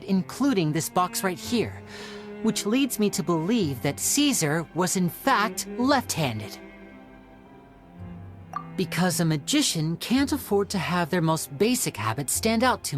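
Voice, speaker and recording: An actor voicing a young male stage magician in a video game, smooth, theatrical and explanatory. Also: high-pitched. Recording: game audio with soft music.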